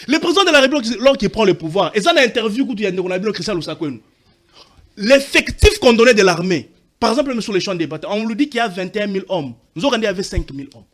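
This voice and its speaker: A man's voice: high-pitched, expressive, quick pace, a bit bright. A man from Katanga arguing insistently in a heated TV debate, talking over the others and mixing French with Lingala. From Katanga, DR Congo. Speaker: debate panelist.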